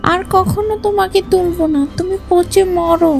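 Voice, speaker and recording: A woman's voice: very high voice, steady tone, moderate pace, gravelly, faint music behind, exaggerated voice. A woman voicing a small, wronged female bird who scolds in a children's fairy-tale cartoon. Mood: scolding.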